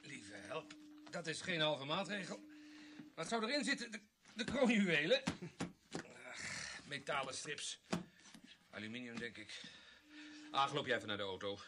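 A man's voice: a little high, very animated, a little slow, pauses often. An actor playing a keen, wry junior policeman in a radio play, speaking informally. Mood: curious, tense. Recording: noisy radio drama recording.

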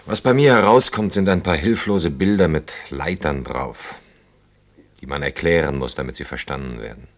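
A man's voice: extremely low pitch, normal speed. An actor playing a sober, politely wistful man in a radio play, speaking in a formal, restrained, matter-of-fact way. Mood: reflective. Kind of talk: understated German radio play dialogue.

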